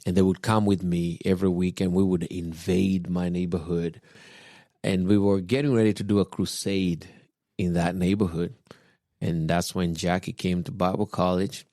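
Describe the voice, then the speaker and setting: A man, calmly telling his personal faith story on a podcast, unhurried and reflective. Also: sits low, dry recording, studio quality. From Kenya. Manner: unhurried, reflective, with soft chuckles. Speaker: podcast guest husband, pastor.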